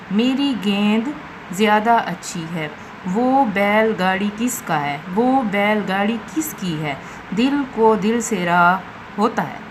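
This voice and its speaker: Slow-paced Pakistani woman teacher, noisy recording. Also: mid-range voice. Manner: instructive, deliberate, repeats sentences. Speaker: Urdu grammar teacher. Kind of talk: lesson, reading example sentences and correcting them. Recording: loud background noise, amateur recording.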